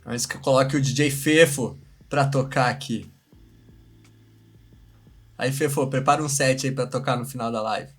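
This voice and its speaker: Male Brazilian livestream host, medium pitch, informal, slangy and repetitive in casual conversation. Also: moderate pace, pauses often, breathy edge. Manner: informal, slangy, repeats himself. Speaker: live show host. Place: remote video live stream from separate location.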